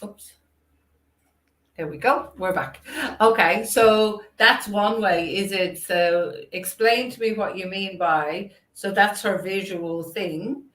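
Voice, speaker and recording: A woman's voice: mid-range voice, slow pace, fairly bright tone. A woman with an Irish accent hosting a casual interview on a video call, thinking aloud and affirming as she goes. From Ireland. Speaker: interview host. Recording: roomy, echoey sound.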